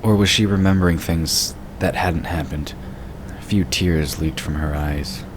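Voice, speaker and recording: Male narrator for fantasy audio drama: extremely low voice, scripted storytelling, clear noisy ambience underneath. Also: unhurried. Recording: clearly noisy, sound effects behind. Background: rumbling ambience and sound effects.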